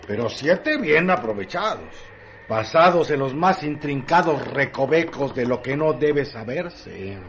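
An actor playing a wry, gossipy storyteller of lowlife in a radio drama, with halting, emphatic phrasing. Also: male voice, animated, a bit bright, slight background noise. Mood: wry, relishing. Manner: theatrical, halting, emphatic pauses. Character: wry gossipy male storyteller of brothel lowlife, relishing details. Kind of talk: radio drama narration, colloquial.

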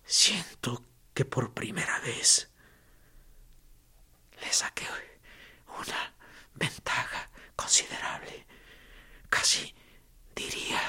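Radio play: a man voicing a broken prisoner, raspy whisper, slow and gravelly, taunting his captor. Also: mid-range voice, sharp tone, full character voice. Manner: hoarse whispering, sarcastic and morbid. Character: tortured male prisoner, defiant and mocking. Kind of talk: radio drama acting.

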